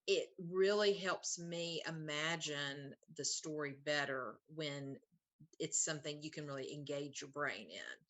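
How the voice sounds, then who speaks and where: A woman's voice: sits low, a little slow, a bit bright. A woman co-hosting an interview, speaking in a casual, conversational way. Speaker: interviewer, co-host.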